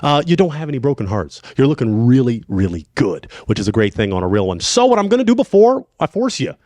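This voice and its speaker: An animated man hosting a dating game show, quick and upbeat as he steers the contestants. His pitch jumps around a lot, and he talks fast. The sound is dry and close. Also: no echo. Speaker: host.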